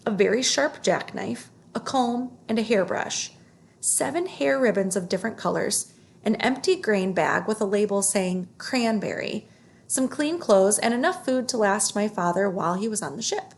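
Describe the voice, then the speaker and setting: A woman narrates a children's book aloud. Her voice sits at a medium pitch with a noticeable creak. She reads expressively and takes care with the phrasing. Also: expressive, normal speed, a little hiss or hum.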